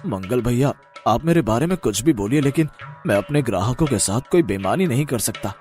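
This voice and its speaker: A man's voice: medium pitch, expressive, a little fast, a little mellow, faint music behind, close to an everyday voice. A man voicing a humble, honest, downcast poor street sweet seller in a moral-story cartoon, pleading and earnest.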